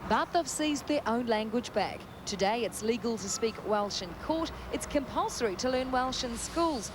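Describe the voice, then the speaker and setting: Higher-voiced woman reporter over street noise. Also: animated, normal speed. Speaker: television reporter. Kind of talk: scripted feature narration. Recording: clear street and vehicle noise behind.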